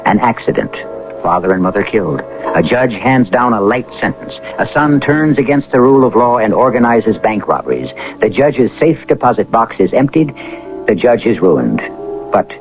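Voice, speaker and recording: A man hosting a radio mystery, narrating dramatically in a measured, portentous way. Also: on the low side, expressive. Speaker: host and narrator. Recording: muffled old radio broadcast. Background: music.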